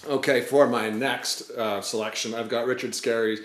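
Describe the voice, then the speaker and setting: A man's voice: medium pitch, steady tone, moderate pace. A man in his forties, co-hosting a casual family vlog and chatting about books. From England. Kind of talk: casual book chat to camera. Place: indoors, living room on a couch. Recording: roomy indoor sound.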